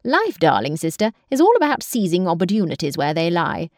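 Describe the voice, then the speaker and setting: A woman voicing a charming, careless young gentleman who teases his sister affectionately. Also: on the low side, huge pitch swings, a little fast, no room sound, clean studio sound. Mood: breezy. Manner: airy, teasing, affectionate.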